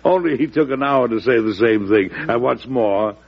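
An actor playing a stern, wry older American president in a 1940s radio drama, emphatic with an occasional stammer. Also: male voice, medium pitch, moderate pace, natural voice. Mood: wry concern. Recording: muffled old radio broadcast.

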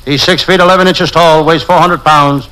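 An actor playing a wisecracking parody private detective, narrating gags deadpan in old-time radio comedy style. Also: male voice, fairly high pitch, normal speed, clear, resonant tone. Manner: deadpan gag-telling narration. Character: wisecracking hard-boiled parody private detective, adult man. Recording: noisy, crackling vintage radio recording.

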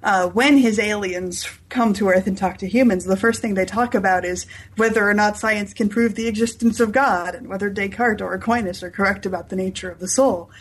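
A woman author being interviewed, explaining their fictional world in enthusiastic, detailed lists with a husky voice. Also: mid-range voice, fairly even intonation, fairly quick, few pauses, low-quality recording. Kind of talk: unscripted explanatory interview talk.

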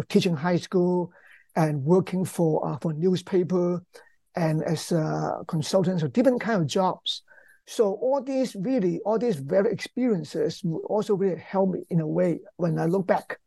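An older man with a Hong Kong accent, a professor speaking conversationally in an interview, halting and repeating words. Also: high-pitched, gravelly, mellow, dry recording. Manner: halting, repeats words, non-native English phrasing. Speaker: history professor, author being interviewed.

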